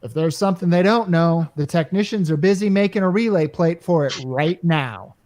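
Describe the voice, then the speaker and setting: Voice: medium pitch, normal speed, a little mellow, lightly acted. A performer in a radio play acting a brash, exasperated salesman who talks in slangy, wisecracking sales patter. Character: brash, exasperated salesman named Caldwell, wisecracking working-class type.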